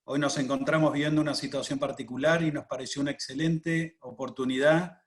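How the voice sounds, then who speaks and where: A man's voice: mid-range voice, fairly even intonation, brisk. A man moderating a webinar, introducing the speakers formally with many hesitations and repeated words.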